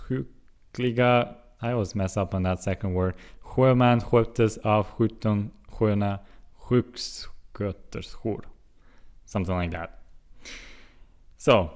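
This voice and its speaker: A young man from Switzerland who grew up speaking English and Russian, vlogging casually and working slowly and carefully through hard foreign words between chatty, musing asides. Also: medium pitch, darkish tone. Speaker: language-learning vlogger. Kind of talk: casual solo vlog.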